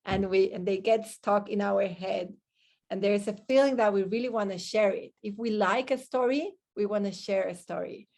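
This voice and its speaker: A woman's voice: medium pitch, moderate pace, vocal fry, darkish tone. Woman moderating an online panel. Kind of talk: moderating a live question-and-answer session, partly reading out comments. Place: online video call. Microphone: video call microphone.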